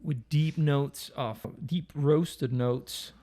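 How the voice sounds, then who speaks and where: A man with an Italian accent, English not his first language, co-hosting a casual beer tasting, talking haltingly with many fillers and restarts. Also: on the high side, steady tone, mellow. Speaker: beer reviewer, co-host.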